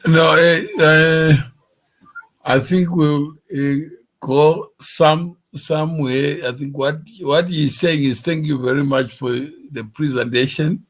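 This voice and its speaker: A man hosting a forum, giving slow, reflective commentary. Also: a little high, fairly even intonation. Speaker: forum host.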